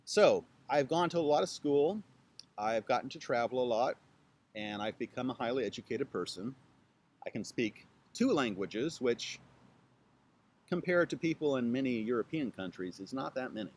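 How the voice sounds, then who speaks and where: A chatty man introduces himself to camera from outdoors, his pitch swinging up and down as he talks. He has a playful, joking way of speaking and spells out words slowly, one letter at a time. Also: expressive. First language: English. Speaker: YouTube channel host. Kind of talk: casual self-introduction to camera. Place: outdoors with trees behind.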